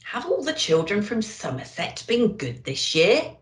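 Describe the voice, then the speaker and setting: Woman acting jolly old man, Father Christmas type, booming, hearty, unhurried, storybook character, roomy echo. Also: big pitch swings. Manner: hearty, blustering.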